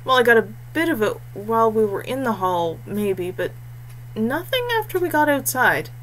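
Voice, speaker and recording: A woman's voice: fairly high pitch, normal speed, rough recording. A woman voicing a blunt, grim and wary young male warrior in a fantasy audiobook. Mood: troubled. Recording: clear steady background noise and hum.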